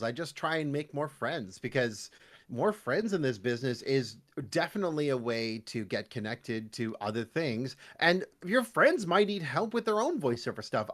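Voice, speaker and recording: A man's voice: medium pitch, fairly bright tone. A Canadian man chatting casually as a podcast guest, animatedly slipping into mock voices when he quotes people. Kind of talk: casual interview chat.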